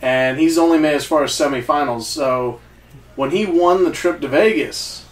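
A man's voice: fairly high pitch, moderate pace. Rambling man in an echoey den. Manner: rambling storyteller, many fillers. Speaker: co-host. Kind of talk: casual chat. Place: small home den, indoors. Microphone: far camera mic. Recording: echoey room from far off.

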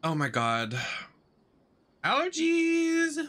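Male streamer, young. Campy and dramatic, very bright, lively pitch, casual chat. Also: very slow. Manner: campy, exaggerated, dramatic delivery. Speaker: drag performer and game streamer. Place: indoor streaming setup.

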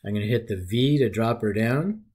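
A man narrating a screen-recorded software tutorial, talking through each step in a relaxed, folksy way. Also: lowish voice, fairly quick, husky.

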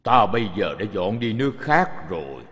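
An actor playing a wealthy old father, a sighing yet commanding master in an animated drama. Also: on the low side, moderate pace. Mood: sorrowful longing. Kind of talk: dubbed animated Buddhist drama. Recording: muffled, long studio reverb.

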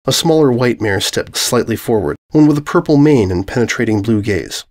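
A man's voice: medium pitch, animated, a little fast, a little mellow. A man narrating scripted fiction in a dramatic reading style. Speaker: story narrator.

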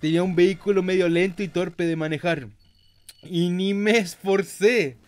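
A man's voice: high voice, normal speed, darkish tone. A man giving casual, slangy, mock-boastful gaming commentary with some swearing. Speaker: gameplay host.

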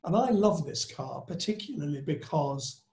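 A man on a video call, judging classic cars on a panel, talking in a measured, admiring way about fine detail. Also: fairly high pitch, lively intonation, a little mellow, reverberant. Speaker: panel judge.

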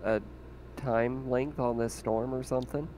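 A man's voice: medium pitch, fairly even intonation, unhurried, warm tone. A man chatting casually as a player during an online tabletop game. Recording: slightly noisy voice-chat recording.

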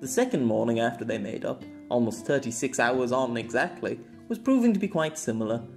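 A man's voice: mid-range voice, expressive, brisk, creaky, darkish tone, faint music behind. A man reading scripted story narration as an amateur audiobook narrator.

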